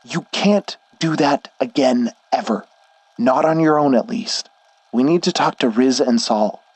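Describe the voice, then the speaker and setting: A man's voice: mid-range voice, unhurried, darkish tone, husky, no echo, pitched above the performer's own voice. A man voicing a worried, protective young man who scolds urgently while out of breath. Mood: firm.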